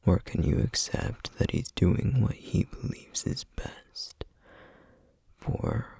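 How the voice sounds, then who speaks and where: A man playing a stern, duty-bound flame demon in an ASMR roleplay, speaking in a breathy, husky half-whisper with grave, measured formality. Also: mellow, dry recording, clean studio sound, lightly acted. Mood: stern, challenging. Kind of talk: ASMR roleplay, scripted acting.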